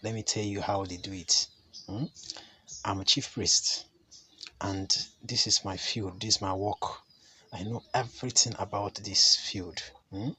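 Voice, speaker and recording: A man, slightly hoarse from a cold, giving an earnest spiritual teaching as a chief priest. He often stops to ask the listener a rhetorical "hmm?". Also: fairly low pitch, steady tone, unhurried, sharp tone, slight background noise. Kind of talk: talking-head teaching to camera.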